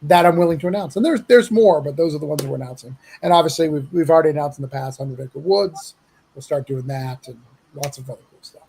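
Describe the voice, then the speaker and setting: Man, livestream host, slightly high pitch, gravelly, casual halting delivery. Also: dark tone, rough recording. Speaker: livestream host and comic project organiser. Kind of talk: casual livestream announcement and chat.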